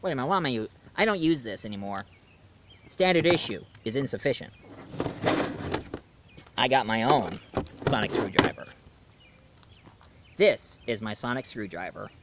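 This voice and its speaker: A man's voice: medium pitch, expressive, normal speed, long pauses, a bit bright, slight background noise. A man talking casually to camera, announcing his own audio drama in a rambling, enthusiastic way with frequent restarts. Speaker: YouTube reviewer.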